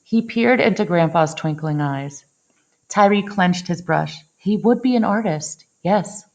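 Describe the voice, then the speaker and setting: A woman reading a picture book aloud in an expressive storytelling style, dwelling on action words with dramatic phrasing. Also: fairly low pitch, measured pace, dull audio. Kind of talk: expressive children's read-aloud narration.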